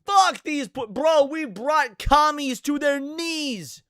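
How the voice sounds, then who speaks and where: Guy streamer reacting, bright punchy voice, swears a lot, rambles his thoughts out loud, real dry sound. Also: very high-pitched, takes it slowly, no echo. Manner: crude, profane, opinionated; animated, thinks out loud. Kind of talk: casual reaction commentary, reading on-screen text aloud.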